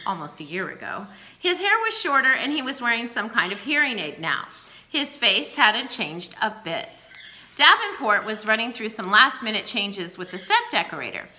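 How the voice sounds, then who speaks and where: A woman in her forties reading a novel aloud at home into a close webcam, acting out the dialogue. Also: medium pitch. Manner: expressive, acts out the dialogue. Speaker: reader. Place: small room at home, indoors. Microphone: webcam at close range.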